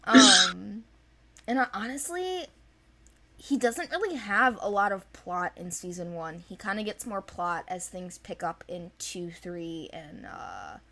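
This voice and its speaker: A woman's voice: fairly low pitch, animated, slow pace, bright, forceful tone. A woman speaking casually and off the cuff, explaining things in an informal, chatty way as a creator presenting a project. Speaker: animated series creator presenting characters for auditions. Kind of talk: casual unscripted project discussion.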